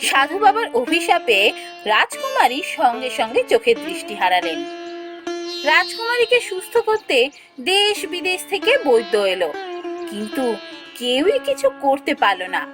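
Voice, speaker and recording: High, lively woman storyteller over music. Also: normal speed. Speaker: narrator. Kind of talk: scripted fairy-tale narration for a cartoon. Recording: music behind the voice. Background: background music.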